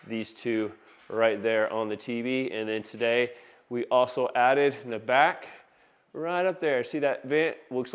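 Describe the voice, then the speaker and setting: A man's voice: mid-range voice, clean tone. A man presenting an audio installation in a casual, enthusiastic video walkthrough, talking informally like a salesman while filming by hand in a living room. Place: inside a large open living room. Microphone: handheld phone camera.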